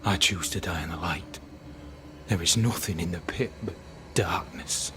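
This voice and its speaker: An actor playing a defiant older military captain burdened by guilt, speaking in a grave, declamatory way in a video game. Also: male voice, low-pitched, measured pace, background noise. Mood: grim resignation. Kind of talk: dramatic video game acting.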